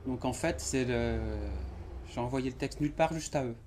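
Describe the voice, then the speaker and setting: Author guy chatting at a bookshop launch, warm mellow voice, loose and halting. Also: mid-range voice, slight background noise. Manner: relaxed, halting, fills with "voilà" and "ouais". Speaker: author at his book launch. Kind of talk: casual conversational interview. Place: bookshop event before an audience.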